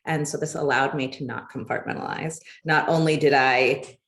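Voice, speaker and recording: A woman educator from Pittsburgh speaks with a low voice, enthusiastic and emphatic. She talks over a video call. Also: steady tone, moderate pace, a bit bright. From Pittsburgh, United States.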